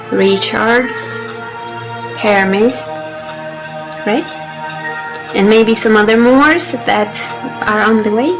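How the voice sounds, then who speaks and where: A young Chilean woman with a Chilean accent, a native Spanish speaker, chats hesitantly through a livestream in a slightly high voice. She talks slowly, giggles, and restarts her sentences often. Music plays steadily behind her. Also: rough recording. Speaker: game developer hosting a livestream. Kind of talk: casual livestream chat.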